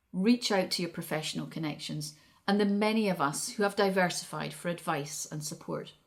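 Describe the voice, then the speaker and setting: A middle-aged woman giving a scripted congratulatory address in a measured, encouraging, reassuring way. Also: lowish voice. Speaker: guest speaker, veterinary surgeon.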